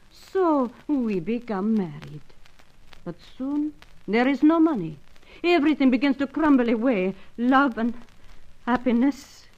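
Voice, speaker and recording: High-voiced, swooping Latin seductress. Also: female voice. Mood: wistful. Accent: Latin American. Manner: theatrical pleading, foreign phrasing. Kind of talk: old-time radio spy serial parody acting. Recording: dull lo-fi radio sound.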